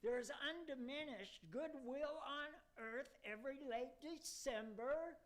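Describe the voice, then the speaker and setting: A man's voice: very high voice, measured pace, fairly bright tone. A man playing a pompous devil who addresses demons in a formal, oratorical way in an amateur radio play. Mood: stern, pompous. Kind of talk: amateur radio theater acting.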